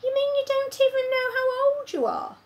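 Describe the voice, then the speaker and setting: A woman's voice: very high-pitched, level tone, moderate pace, voice pushed up. A woman voicing a polite, curious little girl who speaks earnestly. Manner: earnest, well-mannered.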